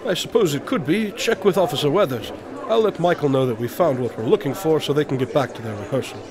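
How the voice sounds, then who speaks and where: Radio drama detective, played by a man: unflappable, eccentric, fairly high voice, formal and exact, busy crowd ambience. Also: lively intonation, fast talker, close to an everyday voice. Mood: curious, composed. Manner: precise, formal, absent-minded. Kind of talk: audio drama dialogue.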